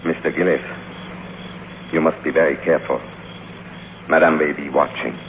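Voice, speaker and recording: Very deep, solemn manservant. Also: male voice, fairly even intonation, normal speed. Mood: solemn, protective. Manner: formal, solemn. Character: grave, devoted, protective older manservant. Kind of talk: 1940s-50s radio drama acting. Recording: muffled, faintly noisy old radio broadcast.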